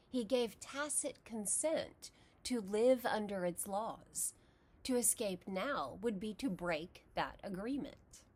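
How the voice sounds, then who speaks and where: A woman with a Texas accent, narrating a scripted philosophy lesson in a measured, explanatory way. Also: mid-range voice, darkish tone. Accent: Texan. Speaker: philosophy lecturer.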